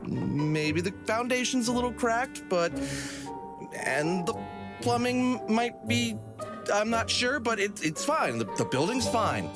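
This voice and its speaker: Animated, higher-voiced adventurer leader played by a man. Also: slow, over music, heavily acted. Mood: placating. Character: earnest, scheming, upbeat male leader of adventurers. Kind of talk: comedy audio drama acting.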